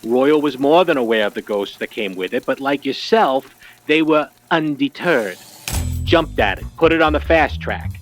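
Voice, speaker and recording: Man playing wry old-Hollywood noir fixer, lively delivery. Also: medium pitch, moderate pace, faint music behind, lightly acted. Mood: grave warning. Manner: wry, slangy, hard-boiled patter. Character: wary fast-talking old Hollywood talent agent, man. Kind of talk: 1940s noir audio drama acting.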